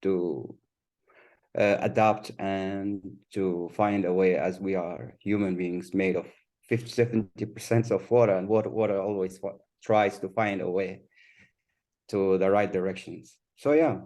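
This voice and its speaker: A man speaking English as a second language, Kurdish his first, on a panel, talking thoughtfully with many pauses and restarts. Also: sits low, fairly even intonation, mellow. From Kurdistan region of Iraq. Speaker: panelist, artist and refugee. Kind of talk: panel discussion.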